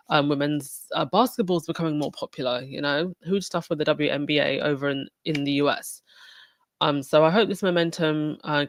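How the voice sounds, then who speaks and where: A woman's voice: deep voice, no echo. A woman from England hosting a casual podcast, sounding sniffly from a cold and chatting loosely with many fillers and restarts. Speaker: podcast host. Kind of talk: casual commentary on a livestreamed podcast.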